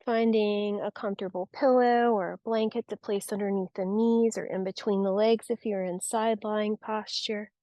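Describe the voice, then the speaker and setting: Mellow, soothing woman guiding relaxation. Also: medium pitch, fairly even intonation, no room sound. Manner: soothing, unhurried, gentle instruction. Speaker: yoga nidra guide. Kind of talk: guided relaxation meditation.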